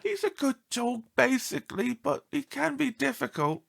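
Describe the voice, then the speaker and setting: A fretful lady from a storybook, upset about her dog, played by a man. The voice is pitched up and bright, earnest and a little exasperated. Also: sing-song, moderate pace, creaky, exaggerated voice. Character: upset female dog owner, fond but exasperated. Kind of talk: storybook read-aloud character.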